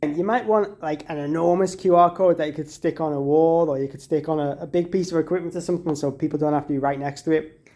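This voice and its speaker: A man's voice: on the high side, fairly even intonation, fast, warm tone. A man giving a casual, step-by-step product walkthrough as a demonstrator, in an informal, conversational way.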